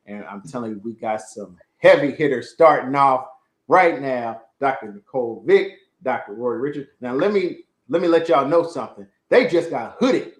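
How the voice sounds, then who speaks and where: A man's voice: mid-range voice, expressive, normal speed. Hyped male show host, roomy sound. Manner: hyped, casual slang. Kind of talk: energetic show hosting. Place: home room. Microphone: video call. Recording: roomy video call audio.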